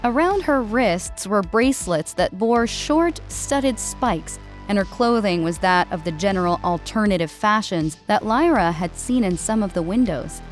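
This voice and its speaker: A woman's voice: medium pitch, expressive, unhurried, hardly any pauses. A woman reading scripted fiction as an audiobook narrator. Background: background music.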